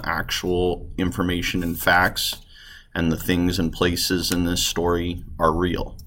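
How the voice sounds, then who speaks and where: Low-voiced man teaching a reading lesson. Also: a bit bright. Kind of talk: classroom lesson, guiding students through a read-along.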